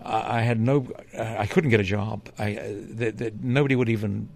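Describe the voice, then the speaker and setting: An older man with a low, gravelly voice tells long stories at an easy pace. He wanders through his memories, stopping and restarting often, filling the gaps with "uh" and "um". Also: steady tone, moderate pace, darkish tone. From England. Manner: rambling, hesitant, with many fillers and restarts. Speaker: interview guest, former TV reporter. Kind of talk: relaxed storytelling in an interview.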